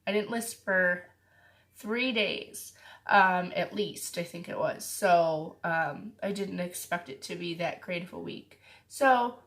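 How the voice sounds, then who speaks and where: Raspy-voiced woman chatting while sick. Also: on the low side, takes it slowly, fairly bright tone. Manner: chatty, with frequent filler ums. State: sick, with a cough. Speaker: reseller vlogger. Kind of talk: casual chat to camera.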